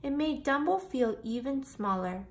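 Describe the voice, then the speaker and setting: A woman reading a children's picture book aloud in an expressive storytelling style. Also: high voice, unhurried.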